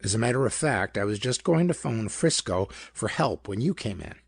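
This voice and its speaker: A man's voice: on the low side, expressive, moderate pace, gravelly, slightly rough, no echo, studio quality, heavily acted. A man voicing a plain-spoken, worried small-town lawman in a pulp detective story, with slangy, colloquial talk. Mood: uneasy. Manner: colloquial, slangy, hesitant explaining.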